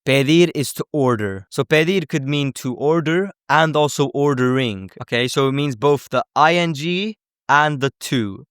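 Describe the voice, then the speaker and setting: A man's voice: on the high side, unhurried, fairly bright tone, clear, resonant tone, dry recording. Man teaching in dry, close sound. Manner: conversational, often checks in with okay and right. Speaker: language teacher. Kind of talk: informal explanatory lesson.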